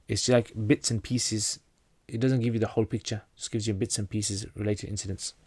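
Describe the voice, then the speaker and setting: Low-voiced man from North Pakistan. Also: steady tone, brisk, darkish tone, breathy edge, no echo. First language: Pashto. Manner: reads out questions then answers plainly, with frequent restarts. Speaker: channel host answering viewer questions. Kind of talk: casual livestream Q&A.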